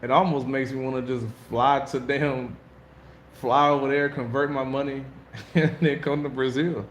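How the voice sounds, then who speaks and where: A man's voice: medium pitch, little pitch movement, normal speed. An American man hosting a casual livestream, talking loosely in slang and laughing often. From United States. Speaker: livestream host. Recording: echoey room with faint noise.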